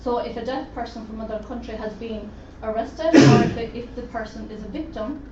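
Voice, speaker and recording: A woman voicing a deaf presenter's talk in the first person, in a measured, steady way, at a roundtable event. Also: a little high. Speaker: sign language interpreter voicing a deaf presenter. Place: conference room at a roundtable event. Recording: roomy, distant, dull lo-fi sound with faint noise.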